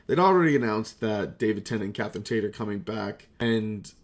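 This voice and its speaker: A man's voice: fairly low pitch, expressive, a little fast. A man talking in a rambling, confessional, casual monologue, candid and self-deprecating, with frequent swearing. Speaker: voice actor reflecting on hobby career.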